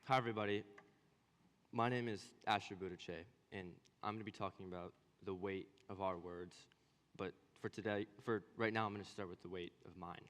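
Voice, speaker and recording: A young man with a low voice gives a personal student speech on stage. He stutters, pausing and repeating words as he goes, and his voice rings with the long reverb of a hall. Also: steady tone, a bit bright. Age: young adult. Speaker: student presenter.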